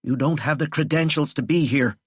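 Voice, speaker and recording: A man's voice: a little high, brisk, husky, no echo, studio quality, pitched above the performer's own voice. A man voicing a big, thin-skinned, bullying president in an audiobook, with a curt, tense bluster. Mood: tense, defensive. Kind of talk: audiobook character voice.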